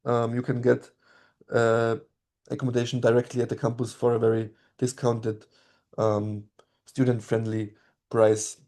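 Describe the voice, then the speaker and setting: A man whose first language is German, presenting an online study-abroad info session in a steady voice with frequent ums. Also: medium pitch, a little slow. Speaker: study abroad program representative.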